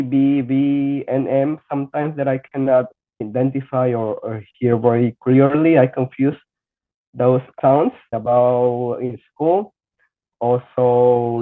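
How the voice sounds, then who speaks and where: A young man whose first language is Spanish, speaking English as a podcast guest, halting and searching for words with frequent restarts. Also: mid-range voice. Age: young adult. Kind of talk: casual podcast interview. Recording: tinny phone-like line.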